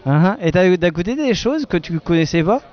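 A man's voice: high-pitched, expressive, fairly quick, muffled recording. A man roaming an outdoor wine festival, playfully teasing people as he interviews them. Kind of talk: casual street interviews.

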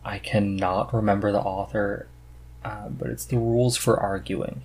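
A man with a fairly low voice hosts a casual podcast. He talks earnestly and with enthusiasm, in a loose conversational style. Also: steady tone, normal speed, a little mellow. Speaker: podcast host.

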